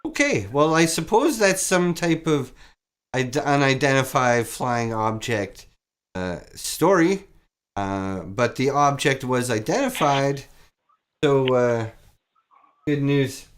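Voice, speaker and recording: A man's voice: mid-range voice, lively intonation, slow, clean tone. A man hosting a comedic public-access call-in show, offhand and fumbling, often echoing what his callers say. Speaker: host.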